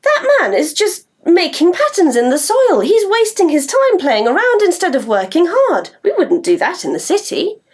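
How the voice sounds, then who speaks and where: A woman's voice: extremely high pitch, huge pitch swings, moderate pace, few pauses, pitched above the performer's own voice, exaggerated voice. A British woman voicing a scornful, bossy city girl in a folk tale, indignant and exclamatory. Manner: indignant, exclamatory, calling out loudly.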